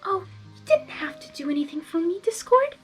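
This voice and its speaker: A woman voicing a shy, gentle young female pony, speaking softly and timidly. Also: very high-pitched, big pitch swings, a little fast, soft background music, voice pushed up. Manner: soft, timid, hushed. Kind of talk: fan fiction reading.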